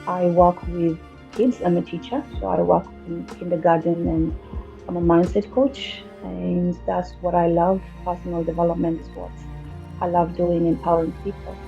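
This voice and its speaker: A woman from Kenya, a teacher and mindset coach, calmly telling her life story as a guest. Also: lowish voice, normal speed, gravelly, muffled recording, soft background music.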